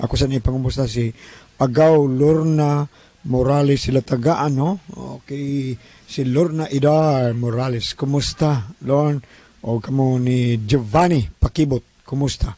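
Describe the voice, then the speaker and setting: Bright-voiced Cebuano radio host, a man. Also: mid-range voice, no room sound. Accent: Cebuano Filipino. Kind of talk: radio greetings and letter introduction.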